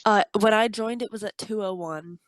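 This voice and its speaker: A woman's voice: medium pitch, moderate pace, no echo. A teenage girl chatting casually over voice chat, giggly and teasing. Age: teens. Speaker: co-host friend on voice chat. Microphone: voice chat mic.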